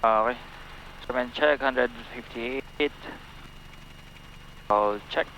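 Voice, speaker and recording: A man's voice: mid-range voice, slow, pushed and bright, muffled recording. A man with a Filipino accent answering cockpit checks casually as the second pilot in a small plane. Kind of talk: casual checklist responses mixing English and Tagalog. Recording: light engine noise in plane cockpit. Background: engine noise.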